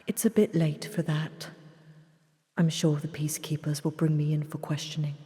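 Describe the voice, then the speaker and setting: A woman playing a calm, grave doctor in an audio drama, speaking in a measured, composed way with breathy asides and sighs. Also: low voice, close to an everyday voice. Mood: concerned, composed. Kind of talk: scripted audio drama acting. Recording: long hall-like reverb.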